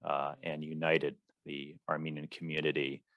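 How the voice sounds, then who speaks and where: A man from the Washington, D.C. area, a guest in an interview, telling his story in a measured, thoughtful way. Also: a bass voice, little pitch movement, fairly bright tone, dry recording. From Washington, D.C. area, USA.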